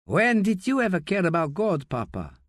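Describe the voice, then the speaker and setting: A man voicing a persistent foreign investigator who presses with calm, probing questions. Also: high voice, moderate pace, no echo, clean studio sound, pitched above the performer's own voice.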